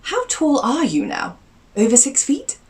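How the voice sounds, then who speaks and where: A woman's voice: high-pitched, huge pitch swings, measured pace, distant mic, voice pushed up, heavily acted. Woman doing a prim, fond aristocratic aunt.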